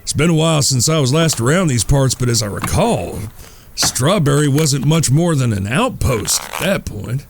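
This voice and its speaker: Man playing a gravelly, polite frontier traveler. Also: medium pitch, animated, moderate pace, background noise. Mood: curious, polite. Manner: folksy, courteous, contemplative. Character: polite, wry Old West traveler and poker player. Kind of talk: Western audio drama acting.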